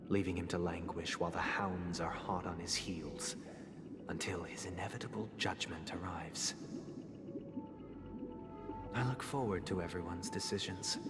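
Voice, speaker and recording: Deep-voiced, solemn melancholy family head. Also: male voice. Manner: measured, solemn, formal, with rhetorical pauses. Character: grave, polite, melancholy male family head recounting tragedies. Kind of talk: theatrical video game monologue. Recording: long reverb, loud music behind. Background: loud game music.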